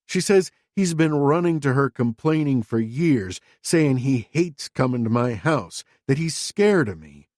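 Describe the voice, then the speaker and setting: A man's voice: medium pitch, animated, a little slow, few pauses, a little mellow, dry recording, studio quality, natural voice. A man voicing a crude, defensive middle-aged father who talks in a blunt, profane, self-justifying way. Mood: bitter, angry.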